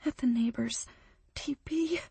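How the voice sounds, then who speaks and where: A woman voicing a frightened young woman trapped by a flood, her voice high, tearful and breaking into whispers. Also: flat delivery, measured pace, a little mellow, husky, dry recording.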